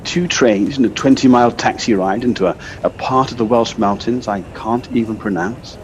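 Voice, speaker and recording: A man playing a concerned, reasonable male companion in an audio play, speaking in a considerate, gently questioning way. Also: on the low side, moderate pace, natural voice. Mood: doubting, gently teasing. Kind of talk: audio play acting, naturalistic dialogue. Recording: dull lo-fi device recording, faint noise.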